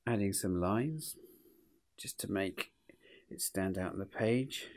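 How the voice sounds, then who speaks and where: Low-voiced, sniffly man teaching drawing. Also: darkish tone. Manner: informal, unhurried, talks through each step. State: sniffly with a cold. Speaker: illustrator hosting drawing tutorials. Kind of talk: casual drawing tutorial, chatting to viewers.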